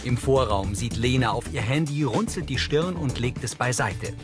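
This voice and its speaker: A man reads scripted audio description of screen action. His voice is medium-pitched, with clear music playing behind it. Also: moderate pace.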